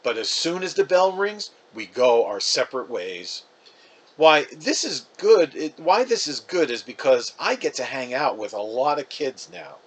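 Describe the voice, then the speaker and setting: A man's voice: mid-range voice, big pitch swings, a little slow. A man reading a storybook as a chatty, wounded, defensive fifth-grade boy, casual and emphatic. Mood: defensive, resigned.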